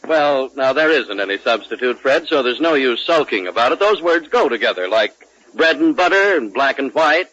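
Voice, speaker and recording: A man working as a 1940s radio announcer, delivering sponsor pitches and show patter. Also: animated, normal speed, a bit bright. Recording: muffled vintage 1940s radio recording.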